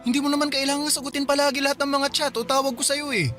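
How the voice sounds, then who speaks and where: A devoted, needy boyfriend in a radio drama. His voice runs very high for a man, earnest and pleading, with music behind him. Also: flat delivery, racing, sharp tone. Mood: hurt, pleading. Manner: pleading, stammering when upset. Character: clingy, earnest, pleading boyfriend, hurt and worried. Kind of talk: Tagalog radio drama acting.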